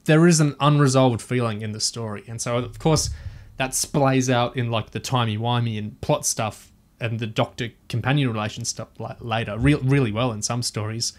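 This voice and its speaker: Man, mid-pitched voice, casual podcast panelist, thoughtful, hesitant phrasing, conversational. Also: steady tone, normal speed. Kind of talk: casual discussion and review.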